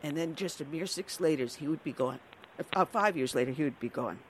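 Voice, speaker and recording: A woman's voice: sits low, animated, brisk, a little hiss or hum. Earnest older woman hosting talk radio. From New York. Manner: earnest, conversational. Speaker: radio show host.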